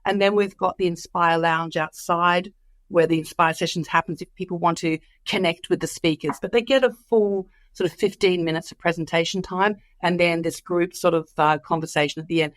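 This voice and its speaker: A woman's voice: lowish voice, steady tone, a little fast, dry recording. Woman with a flu-worn voice. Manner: enthusiastic, rambling with many fillers. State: recovering from flu, voice comes and goes. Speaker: conference guest. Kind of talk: casual interview chat.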